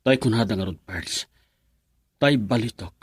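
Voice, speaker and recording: A man's voice: on the low side, moderate pace, slightly rough, dry recording, studio quality. A young man with an Ilocano accent playing a troubled friend who talks in a subdued, brooding way in a radio drama.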